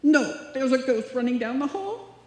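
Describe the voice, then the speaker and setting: A woman's voice: sits high, lively intonation, moderate pace, dark tone. A woman playing a naive, scatterbrained young roommate in a live old-time radio comedy, earnest and dim-witted. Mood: frightened. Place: live stage performance in a hall. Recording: echoey hall, live stage.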